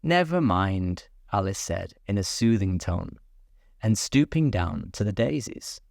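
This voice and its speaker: Soothing bedtime narrator, male, gravelly, unhurried. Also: on the low side, no room sound, clean studio sound. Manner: slow, soothing and expressive. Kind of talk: calm bedtime story reading.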